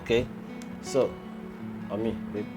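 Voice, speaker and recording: A man's voice: fairly low pitch, steady tone, unhurried, dark tone. A man hosting a casual gadget review, explaining and demonstrating as he goes. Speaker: gadget reviewer and video host. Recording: music playing behind the voice.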